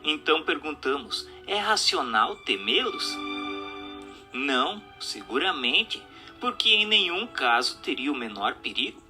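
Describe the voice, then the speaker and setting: A Brazilian man reading a scripted audiobook narration in a deliberate, articulate way with emphatic phrasing. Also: on the high side, very animated, over music.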